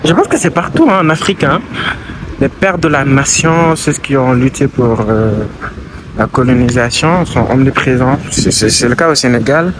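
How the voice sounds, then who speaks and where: A man's voice: mid-range voice, moderate pace. A young man casually narrating a travel vlog while walking through city streets, thinking aloud with hesitant restarts. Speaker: travel vlogger. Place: busy city streets outdoors. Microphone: handheld camera while walking. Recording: outdoor street noise. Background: street and traffic noise.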